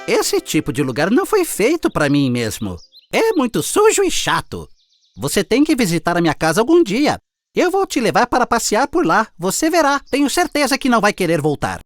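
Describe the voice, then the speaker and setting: A woman's voice: mid-range voice, wildly swooping pitch, normal speed, soft background music. A woman with a Brazilian accent voicing a boastful, disdainful male city mouse in a children's cartoon fable. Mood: snobbish, boastful.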